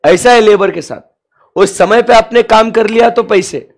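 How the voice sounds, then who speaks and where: A young man lecturing a class with a sore throat, mixing Hindi and English and quizzing students with rhetorical questions. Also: high-pitched. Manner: asks students rhetorical questions, drawn-out emphatic English phrases. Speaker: commerce lecturer.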